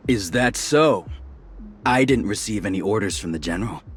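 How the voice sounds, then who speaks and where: A man's voice: fairly low pitch, animated, moderate pace, natural voice. A man playing a stern, skeptical knight captain in an English video game dub, formal and questioning. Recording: game music behind.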